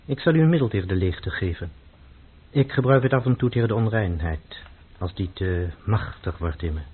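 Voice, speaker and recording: An actor playing a grave, troubled man in a radio play, speaking formally and deliberately with a husky voice. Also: fairly low pitch, lively intonation. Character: grave, troubled man obsessed with purity, calmly instructing. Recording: muffled, phone-like old radio recording.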